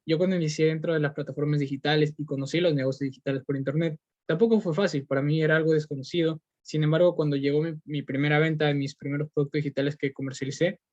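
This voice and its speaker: Peruvian man, young adult, podcast host, slightly high voice, earnest, hesitant fillers, dull lo-fi sound. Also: fairly even intonation, quick pace, few pauses, a little mellow, no echo. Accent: Peruvian Spanish. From Peru. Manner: earnest, repetitive, many fillers and restarts. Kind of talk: motivational podcast monologue. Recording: dull lo-fi recording.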